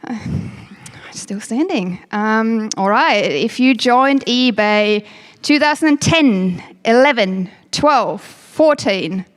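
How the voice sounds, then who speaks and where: Woman, thirties, German accent, event host, fairly high pitch, casual and chatty, dry sound. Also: animated, slow, no room sound. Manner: chatty, self-deprecating, many ums. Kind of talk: welcome speech at a workshop. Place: event room.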